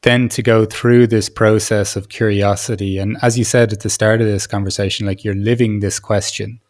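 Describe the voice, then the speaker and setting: A man hosts a long-form conversational interview. His voice is fairly low and stays quite level in pitch. He words his questions tentatively, circling and restarting as he goes. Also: level tone, normal speed, darkish tone. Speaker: podcast host, interviewer.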